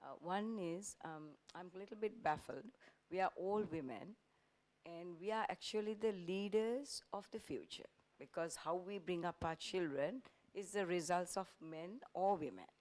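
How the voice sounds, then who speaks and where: Low-voiced woman asking from the floor. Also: moderate pace, darkish tone. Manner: earnest, builds an argument with repeated starts. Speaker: audience member asking a question. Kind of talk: conference floor question. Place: large conference hall.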